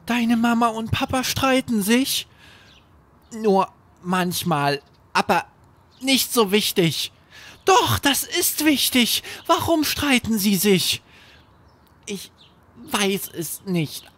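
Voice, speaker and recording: Young boy , acted by a man: high, slow, simple earnest talk, a little worried, bedtime audio story. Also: animated, fairly bright tone, exaggerated voice.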